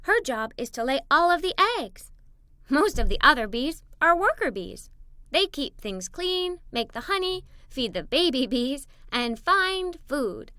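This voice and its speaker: A woman narrating a children's story in an expressive, dramatic read-aloud style. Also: high-pitched, measured pace, no echo, clean studio sound.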